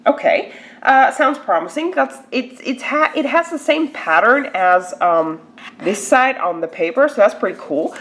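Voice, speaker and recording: A woman's voice: fairly high pitch, expressive, unhurried, fairly bright tone. A woman with a stuffy nose from a cold, chatting casually to camera as she unboxes a beauty box, with lots of "like" and "um". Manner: chatty, filler-heavy, lots of "like" and "um".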